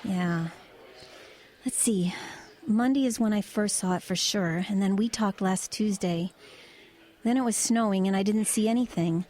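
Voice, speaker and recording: An actress playing a wry, low-key woman driver who tells of eerie happenings in a conversational, hesitant way in an audio drama. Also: unhurried, a little mellow, close to an everyday voice. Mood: matter-of-fact. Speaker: lead actress and narrator. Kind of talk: audio drama narration and dialogue.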